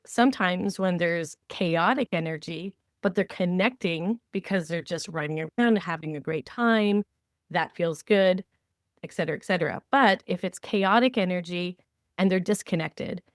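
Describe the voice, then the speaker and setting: A woman hosting a podcast, talking conversationally and explaining with animated examples. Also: lowish voice, moderate pace, dry recording, clean studio sound. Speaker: podcast host. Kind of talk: conversational training discussion.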